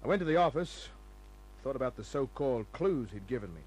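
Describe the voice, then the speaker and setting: An actor playing a hard-boiled private detective, laconic, breezy and sardonic, in the manner of old-time radio drama. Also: male voice, medium pitch, expressive, brisk, a little mellow, natural voice. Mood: dry narrating. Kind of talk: old-time radio acting and first-person narration. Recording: noisy humming old radio recording.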